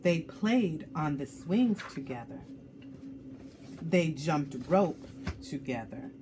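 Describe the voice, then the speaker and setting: A woman storyteller reads to young children at a slow, deliberate pace. Her pitch swings widely as she stretches key words for drama, and there is faint noise behind her. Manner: animated, dramatic, drawn-out emphasis for young listeners. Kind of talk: expressive picture-book read-aloud.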